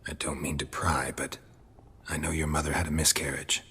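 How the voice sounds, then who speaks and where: A stoic monster hunter for hire in a video game. The American voice is extremely low and heavily husky, terse and deadpan, ringing in a long reverb. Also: male voice, moderate pace, heavily acted. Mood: low, sympathetic. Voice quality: very gravelly, husky rasp.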